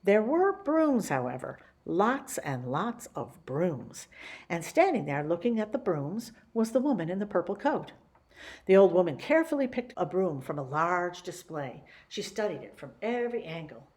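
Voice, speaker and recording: A woman reading a children's picture book as a story-time host, with theatrical, deliberate emphasis. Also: fairly low pitch, very animated, a little mellow. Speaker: library story-time reader.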